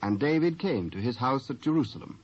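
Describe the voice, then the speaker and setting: A British man narrating scripture from a script in a formal, measured storytelling manner. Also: medium pitch, big pitch swings. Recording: muffled old cassette.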